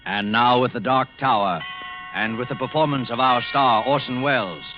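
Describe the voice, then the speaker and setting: A male old-time radio announcer introduces drama with formal gravity. His medium-pitched voice rides over prominent music on a dull vintage recording. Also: normal speed. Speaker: program announcer.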